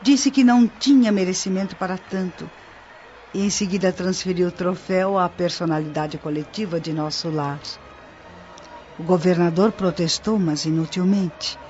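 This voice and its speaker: A Brazilian actress playing a kindly, reverent female spirit helper who tells stories to a newcomer in a measured radio-drama manner. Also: lowish voice, a little mellow, slight background noise, lightly acted. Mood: solemn, admiring. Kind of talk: radio drama acting, storytelling. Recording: dull lo-fi radio drama over music.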